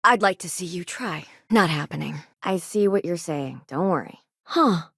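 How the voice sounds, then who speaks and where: A caring but tired colony doctor in a space game. Her voice sits a little low for a woman and moves with lively, expressive inflection. She is matter-of-fact and conversational, recorded very dry and close. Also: normal speed, creaky, husky, no echo, heavily acted. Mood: firm, reasonable. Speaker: video game voice actor. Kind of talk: scripted game dialogue lines.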